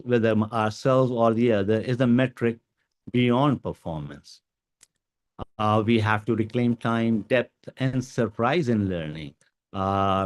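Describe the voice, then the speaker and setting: A man whose first language is Urdu gives an educational talk to teachers, with many pauses and fillers. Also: on the low side, darkish tone. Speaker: guest speaker.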